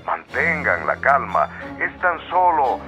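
An actor playing a 1940s radio announcer, formal and insistent, urgently calming the public. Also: male voice, medium pitch, takes it slowly, exaggerated voice. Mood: urgent, pleading. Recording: dull lo-fi radio sound, faint music.

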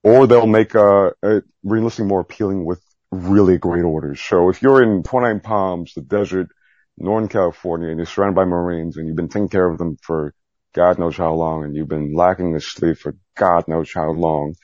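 A man with a low, husky voice chatting candidly in an interview, rambling, self-deprecating and mildly profane. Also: steady tone, normal speed, darkish tone, no echo, dull audio. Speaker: podcast guest, Navy veteran. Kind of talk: candid casual interview chat.

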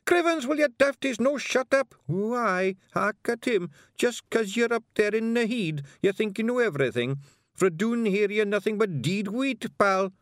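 A man voicing tiny, quarrelsome pixie men with Scottish accents, gruff and cussing. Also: high voice, expressive, a little slow, no room sound, clean studio sound, pitched above the performer's own voice. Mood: squabbling. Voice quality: gruff, shouty, coarse cussing.

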